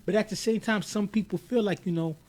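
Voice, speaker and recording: High-voiced, animated podcast man. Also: brisk. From United States, of Haitian parents. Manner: animated, emphatic, repeats words when starting. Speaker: podcast co-host. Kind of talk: casual podcast discussion.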